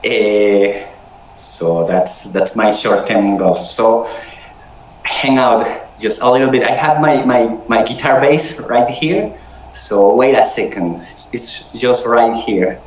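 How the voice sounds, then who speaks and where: Man with a cold, halting casual host, slow delivery, roomy sound. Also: medium pitch, a little mellow, a little hiss or hum. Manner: hesitant, many restarts. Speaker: meeting host. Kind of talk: casual online chat. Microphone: video call.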